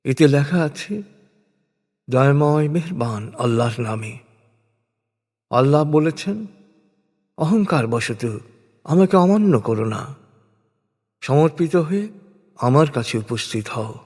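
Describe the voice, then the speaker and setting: Man, higher-pitched voice, slow solemn scripture narration, long hall reverb. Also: lively intonation, a little mellow.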